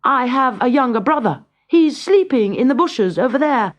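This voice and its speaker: Narrator playing a high-voiced, cunning peasant lad. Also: expressive, normal speed, dull audio. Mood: sly, confident. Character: plucky, cunning young peasant lad, boldly bluffing. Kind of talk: children's storybook character reading.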